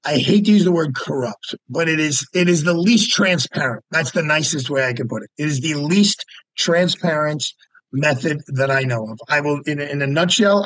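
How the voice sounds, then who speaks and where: A man's voice: fairly high pitch, no echo, rough recording. A man, a lawyer guest talking casually and emphatically, often repeating words as he makes his point. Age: 50s. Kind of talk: casual podcast interview.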